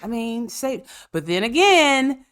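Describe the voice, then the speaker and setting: A woman's voice: fairly high pitch, sing-song, takes it slowly, fairly bright tone, clear, resonant tone. A woman hosting a podcast in casual chat, congested with a cough, telling stories with sassy, profane humor and frequent laughs. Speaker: podcast host.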